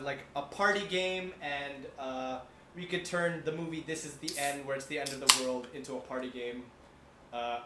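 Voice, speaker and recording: A man's voice: fairly high pitch, bright, forceful tone. Man hosting casually in a roomy space. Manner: informal, rambling, joking with swearing. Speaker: host of a podcast segment. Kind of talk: casual banter, hosting a game segment. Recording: roomy sound with faint background noise.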